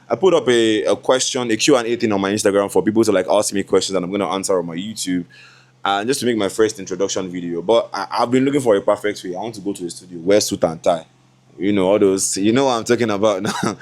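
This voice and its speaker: Chatty young Nigerian man vlogging. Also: on the low side. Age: 20s. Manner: chatty, laughing, switches into Yoruba asides. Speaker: content creator. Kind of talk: casual vlog talk to camera.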